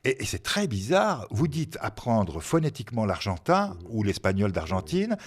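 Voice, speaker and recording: A man hosting a radio interview, asking lively, effusive questions. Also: lowish voice, normal speed, breathy edge. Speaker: radio host.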